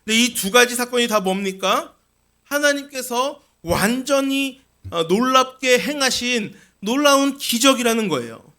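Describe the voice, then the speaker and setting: A man's voice: extremely high pitch, lively intonation, measured pace, bright, forceful tone. A man preaching a sermon as a pastor, explaining scripture with rhetorical questions and repeated key phrases.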